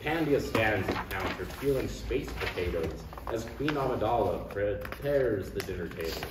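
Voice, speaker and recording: A man's voice: on the low side, lively intonation, moderate pace. A man giving scripted narration, reading out the stage directions at a table read. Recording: roomy distant recording with faint noise.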